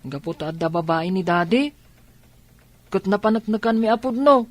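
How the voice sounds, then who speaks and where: An actress with an Ilocano accent playing a sad, earnest child who pleads mournfully in a radio drama. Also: female voice, mid-range voice, lively intonation, moderate pace, no room sound, lightly acted. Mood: pleading. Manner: imploring, mournful.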